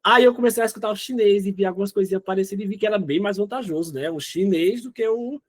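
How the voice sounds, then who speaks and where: A man's voice: sits high, quick pace. A man in his twenties from Northeast Brazil, chatting casually as an interview guest. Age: 20s. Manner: chatty, often says "né?" and "entendeu?".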